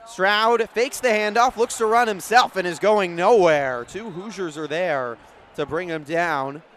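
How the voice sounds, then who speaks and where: A man doing live radio play-by-play, moving between measured setup and urgent calls. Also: high voice, fairly bright tone. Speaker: play-by-play sports announcer. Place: outdoor stadium broadcast position. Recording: background noise of an outdoor stadium.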